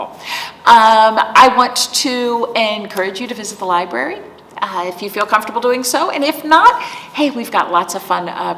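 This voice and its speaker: A woman hosting an interactive storytime, talking directly and coaxingly to young children in an animated way. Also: medium pitch, gravelly, far from the mic. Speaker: library storytime host. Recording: echoey reverberant room, faint background noise.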